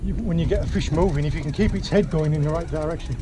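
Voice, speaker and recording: A man's voice: fairly high pitch, quick pace. Young man fishing from a windy boat. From England. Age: young adult. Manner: chatty, excitable, explains as he works. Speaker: fishing vlogger. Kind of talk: casual commentary while fishing. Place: small open boat at sea. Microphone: action camera mounted on the boat. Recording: noisy outdoor camera audio at sea.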